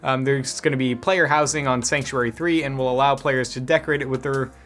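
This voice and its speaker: A man's voice: mid-range voice, steady tone, brisk, a bit bright. A man hosting a gaming news show in a casual, conversational way, dropping wry asides as he goes.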